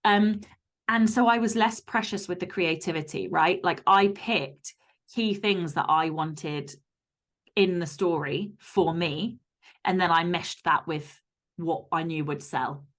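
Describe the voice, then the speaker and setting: A woman's voice: lowish voice, a little slow. A woman speaking as an author guest in a casual interview, candid and emphatic, with some casual swearing.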